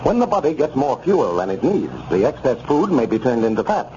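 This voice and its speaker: A man's voice: medium pitch, big pitch swings, a little fast, mellow. A man announcing on 1930s radio, formal and declaiming, reading ad copy. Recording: dull 1930s radio broadcast with hiss.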